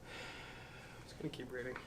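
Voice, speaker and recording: A man reading a spiritual book aloud to camera. He adds slow, musing commentary, questions himself, and trails off into mumbles. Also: fairly bright tone, slight background noise, rough recording.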